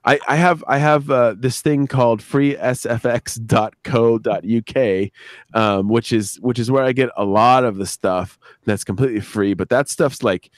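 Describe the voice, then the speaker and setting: A man's voice: mid-range voice, normal speed, no echo, clean studio sound. A man talking casually on a live stream as an audio drama sound editor, rambling and often restarting his phrases.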